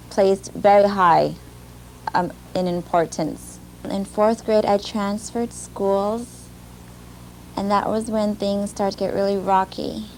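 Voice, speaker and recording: A young woman recounting her own story in an older video clip, speaking softly and reflectively with some hesitation. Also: mid-range voice, slight background noise, low-quality recording. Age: young adult. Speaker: former student telling her story in a video. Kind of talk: personal testimony. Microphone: archival video clip played during a webinar.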